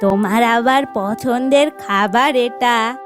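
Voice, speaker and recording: Woman playing a slow-talking village grandmother. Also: a little high. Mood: homely. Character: homely old village grandmother cooking for children. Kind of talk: cartoon voice acting. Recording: clear music behind the voice.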